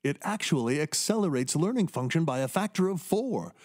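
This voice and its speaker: An actor playing an earnest, cautioning male surgeon in a radio play, explaining things in measured terms. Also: fairly high pitch, lively intonation, darkish tone, natural voice. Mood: calm, proud.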